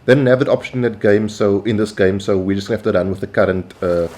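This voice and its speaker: A South African man hosting his gaming channel, calmly explaining tactics over gameplay with frequent pauses. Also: on the low side, slight background noise. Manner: measured, instructional, with frequent "um" and "uh" pauses. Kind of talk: gameplay commentary.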